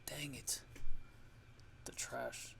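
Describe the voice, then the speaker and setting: A man giving a casual software tutorial, haltingly thinking aloud as he goes. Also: whispered. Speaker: music production tutorial host. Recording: clear background noise.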